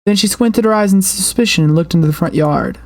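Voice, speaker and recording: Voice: medium pitch, animated, fast, creaky. A streamer reading a horror story aloud with dramatic expression and the occasional stumble.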